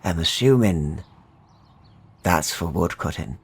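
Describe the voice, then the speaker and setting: A man's voice: sits low, lively intonation, slow pace, slightly rough, heavily acted. A man playing an older, friendly but watchful gate guard in a fantasy tabletop roleplay, dry and easygoing.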